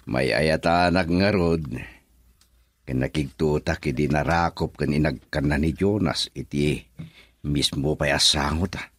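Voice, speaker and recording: A man's voice: a bass voice, unhurried, no echo, clean studio sound. A man acting a hopeful, persuasive middle-aged father in a radio drama, with a Filipino accent. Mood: persuading.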